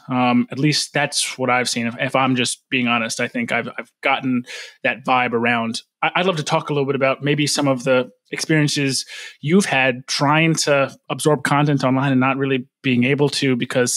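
A man in his thirties hosting a podcast interview, friendly and relaxed, often pausing with "um" and restarting. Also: medium pitch, no echo. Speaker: podcast host. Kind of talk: casual interview conversation. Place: home room. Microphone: studio microphone on boom arm.

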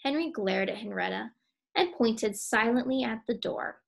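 A young woman reading a children's storybook aloud in an expressive, emphatic way. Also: fairly high pitch, moderate pace, gravelly.

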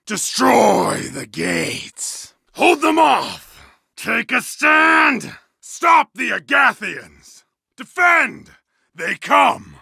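A roaring gravelly berserker. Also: male voice, very high voice, no room sound, full character voice. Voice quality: gravelly shouting. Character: fierce bearded male berserker warrior, bloodthirsty. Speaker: game character voice lines. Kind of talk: video game combat barks. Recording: dry studio recording.

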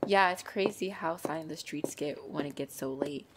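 A woman's voice: sits low, noisy background, natural voice. A woman voicing a flirtatious, teasing female vampire in an intimate ASMR roleplay, playful and giggly with a hungry undertone. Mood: cheerful, welcoming. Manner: playful, giggly, slow and coy, dropping into breathy whispers. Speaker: roleplay voice performer. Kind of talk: ASMR roleplay, intimate one-sided dialogue.

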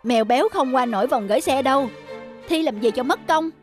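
A woman's voice: high voice, a little fast, close to an everyday voice. A woman voicing a sporty young boy, a teasing but caring older brother in a cartoon. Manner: casual, teasing. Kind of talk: cartoon voice acting. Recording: music bed under the voice.

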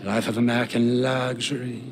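An actor playing an arrogant, cold Nazi officer in a video game, with a German accent and pompous delivery. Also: male voice, medium pitch, little pitch movement, lightly acted. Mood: smug. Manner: pompous, deliberate. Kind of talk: video game cutscene acting.

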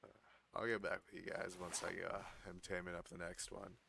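Hoarse male gaming commentator, deep gravelly tone, noisy home recording. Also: a little fast. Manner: excitable, swearing when startled. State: losing his voice, hoarse. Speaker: gaming YouTuber. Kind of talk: casual gameplay commentary.

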